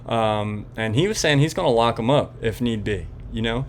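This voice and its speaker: A man hosting a podcast, giving casual political commentary in an informal, slangy, halting way. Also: mid-range voice, a little hiss or hum. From Florida resident of Puerto Rican background. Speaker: podcast host.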